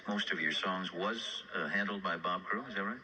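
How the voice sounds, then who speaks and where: A man's voice: deep voice, normal speed, crowd noise, low-quality recording. A man hosting a radio interview and introducing records on an old broadcast tape. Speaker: radio host interviewing musicians. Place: radio studio.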